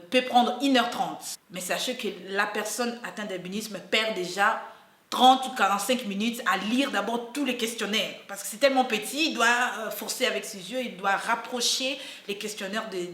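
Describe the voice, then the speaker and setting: A woman's voice: medium pitch, a bit bright. Earnest young woman, roomy sound. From Democratic Republic of the Congo. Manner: earnest, hesitant, frequent fillers and restarts. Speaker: university student and activist. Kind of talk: personal testimony in an interview.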